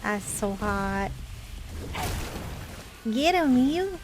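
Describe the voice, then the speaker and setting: A woman's voice: fairly high pitch, sing-song, extremely slow, background noise. A woman doing casual live game commentary, chatting with viewers in a playful, mock-complaining manner with short exclamations. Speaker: game streamer. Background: game music.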